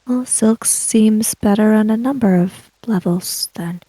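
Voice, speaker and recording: A woman's voice: mid-range voice, measured pace, mellow, no echo. A woman roleplaying in a tabletop game, speaking hesitantly and narrating what her character does. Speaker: player in a tabletop roleplaying podcast.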